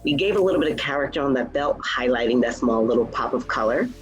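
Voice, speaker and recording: A man presenting student outfits, describing each garment in a smooth, salesy way. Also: mid-range voice, animated, fast. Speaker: fashion presenter. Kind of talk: runway-style commentary on outfits. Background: background music.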